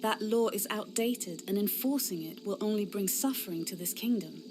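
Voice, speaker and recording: A woman's voice: mid-range voice, normal speed, mellow, slight background noise, lightly acted. A woman as an earnest, defiant young knight. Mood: protesting. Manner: impassioned, defiant. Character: earnest, idealistic young female inquisitor knight. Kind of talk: fantasy game cutscene acting.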